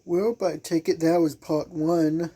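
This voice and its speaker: A woman's voice: low-pitched, fairly even intonation, unhurried, dark tone, breathy edge. A woman giving a casual sign-off as a gameplay video creator.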